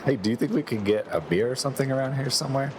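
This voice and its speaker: A man playing an easygoing, dry, teasing podcaster stuck inside a movie, chatting casually and a little haltingly. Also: mid-range voice, lightly acted. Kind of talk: casual chat in an audio comedy. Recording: clear outdoor traffic-like noise.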